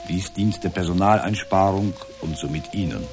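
An actor playing an unflappable, formally polite therapist in a satirical radio drama, speaking in measured, stilted counselling phrases. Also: male voice, low voice, music in the background, close to an everyday voice. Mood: formally soothing. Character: unflappable automated male therapist, formally polite, echoing the patient.

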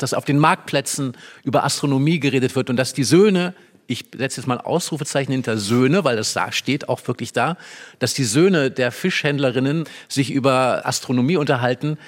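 A man speaks at a medium pitch. He explains and analyses literature in an emphatic, engaged manner, as in a discussion programme. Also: normal speed. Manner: explanatory, emphatic, acts out short quotes. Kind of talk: animated analytical discussion of a play.

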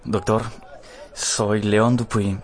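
An actor playing a shy, courteous young notary's clerk in a radio drama, with polite, formal manners. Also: male voice, fairly low pitch, level tone, very slow.

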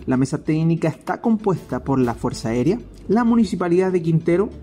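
A woman's voice: fairly low pitch, expressive, a little fast, mellow. A woman reading a scripted news report as a radio reporter. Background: faint music.

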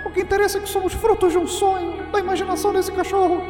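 Very high, shrill angel pillow, echoing. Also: female voice, little pitch movement, fast talker, a little mellow, soft background music. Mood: rebellious agitation. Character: indignant rebellious angel pillow in a dog's dream. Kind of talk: comic audio drama acting. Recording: echoey hall reverb.

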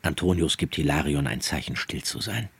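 A man narrating a scripted radio drama in a measured storytelling manner. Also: very deep voice, animated, gravelly, fairly bright tone, breathy edge, no echo.

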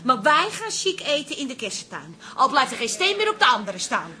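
An outspoken wife in a radio comedy. The voice is high and sharp, given to sarcastic, teasing exclamations. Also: female voice, big pitch swings, fairly quick, full character voice. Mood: stubborn. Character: outspoken, sarcastic wife longing for a romantic dinner. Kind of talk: radio comedy drama acting.

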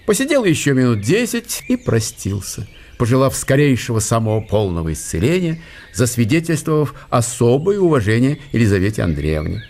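A man's voice: medium pitch, very animated, a little fast, darkish tone. A man narrating a historical radio play in a scripted literary style.

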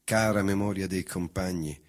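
A man's voice: low-pitched, steady tone, normal speed. A man giving a solemn, declamatory dramatic recitation of poetry. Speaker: reciting performer. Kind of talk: dramatic recitation of autobiographical verse.

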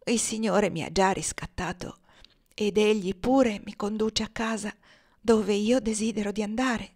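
A woman voicing a dying old man in an audiobook, gentle, feeble and devout, speaking with solemn peace. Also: medium pitch, fairly even intonation, close to an everyday voice. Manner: solemn, devout, slow-breathed exaltation.

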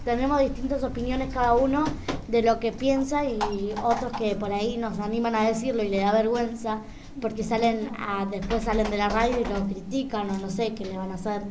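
Argentine girl hosting a radio debate, highish voice, clear noise behind. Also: fairly even intonation, fairly quick. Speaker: radio programme host. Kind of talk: informal live radio debate. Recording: noisy live radio recording.

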